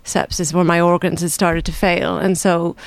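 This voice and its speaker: A Canadian-accented author answering interview questions in a measured, reflective way. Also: medium pitch, level tone, vocal fry, no room sound. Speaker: author guest.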